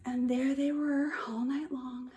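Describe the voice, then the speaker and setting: A woman kindergarten teacher reading a picture book aloud to young children in a simple, expressive way, speaking to them directly. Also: high voice, a little slow. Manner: addresses children directly with questions and prompts.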